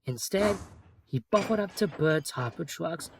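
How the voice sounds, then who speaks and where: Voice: medium pitch, animated, measured pace, gravelly, noisy background. A narrator reading a scripted children's railway story. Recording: music under the voice.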